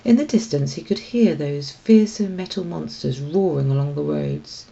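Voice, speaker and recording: A woman reading a children's story aloud as expressive scripted narration. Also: sits low, moderate pace, vocal fry, lo-fi sound. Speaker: storybook reader.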